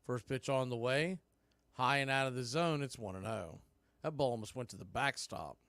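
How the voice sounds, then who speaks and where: Bright dry-voiced baseball play-by-play man. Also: mid-range voice, normal speed, no room sound. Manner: bursts into excited calls on big plays. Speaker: play-by-play announcer.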